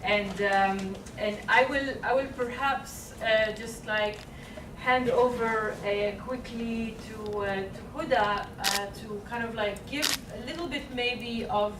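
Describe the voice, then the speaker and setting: Halting woman presenting in an echoey hall. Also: a little high. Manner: halting, many fillers and restarts. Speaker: panel presenter. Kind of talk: conference presentation. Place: conference hall. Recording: echoey room, far mic, noisy.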